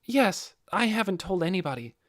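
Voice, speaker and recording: A man puts on an earnest teenage boy for a fantasy audiobook. The voice sits high and lively, polite and full of youthful admiration. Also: normal speed, no room sound, clean studio sound. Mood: earnest, grateful.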